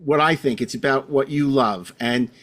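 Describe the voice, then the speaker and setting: A man's voice: mid-range voice, moderate pace, a bit bright. A middle-aged man hosting a casual panel discussion, talking in a rambling way full of you-knows. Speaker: podcast host.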